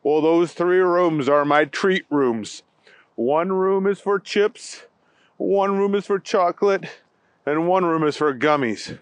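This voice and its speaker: A man's voice: a little high, slow pace, clear, resonant tone, no room sound. A man doing a jolly, teasing old grandpa. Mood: easygoing. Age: older.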